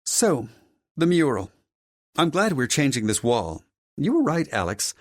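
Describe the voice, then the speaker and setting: A haughty, domineering society mother, voiced by a man for an audiobook. The voice is lifted high and swoops grandly, condescending and scolding, in a close, dry sound. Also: big pitch swings, unhurried, no room sound. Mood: brisk, composed. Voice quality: deep female voice. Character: haughty, domineering wealthy mother of a grown son. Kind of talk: audiobook character dialogue.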